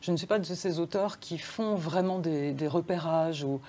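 A woman born in France, a crime novelist guest, answering interview questions thoughtfully and softly, pausing to search for words. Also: low-pitched. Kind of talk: reflective studio interview talk. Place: TV studio.

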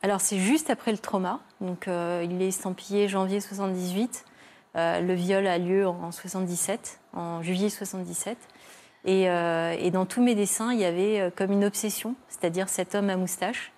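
An older woman speaking as a guest on a television talk show, giving a personal testimony with many pauses, fillers and restarts. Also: medium pitch, fairly even intonation, darkish tone, slight background noise. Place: television studio.

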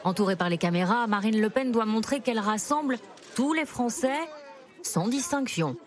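A woman reporter narrating a scripted television news segment in a measured way. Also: on the high side, a crowd in the background. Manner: measured, even report delivery. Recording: faint music underneath.

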